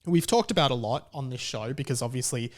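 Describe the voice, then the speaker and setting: A man's voice: mid-range voice, quick pace. A man hosting a podcast, giving casual opinion commentary in a conversational, rambling and emphatic way. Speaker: podcast host.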